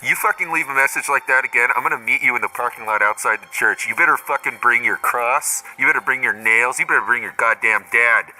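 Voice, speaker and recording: An exasperated, blunt friend acted by a man leaves a comedy voicemail. His voice sits at an ordinary pitch with a very sharp, forceful edge, and he sounds irritated and foul-mouthed. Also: quick pace, few pauses, low-quality recording. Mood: angry, threatening. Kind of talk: improvised comedy voicemail skit.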